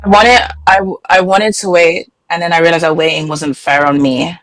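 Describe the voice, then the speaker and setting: A woman's voice: lowish voice, steady tone, moderate pace, fairly bright tone, no room sound, poor audio. Rambling young woman. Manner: rambling, heavy use of "like". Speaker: guest. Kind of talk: casual personal storytelling.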